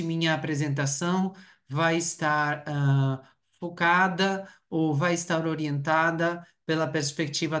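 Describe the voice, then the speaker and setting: Man, formal and hesitant. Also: on the high side. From Uruguay. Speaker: academic researcher presenting at a conference. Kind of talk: academic conference presentation.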